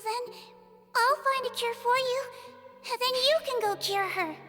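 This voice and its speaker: A little girlish creature, a devoted apprentice healer in a video game. The voice is extremely high and bright, childlike and pleading. It rings with long reverb. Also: moderate pace, faint music behind. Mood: earnest, determined.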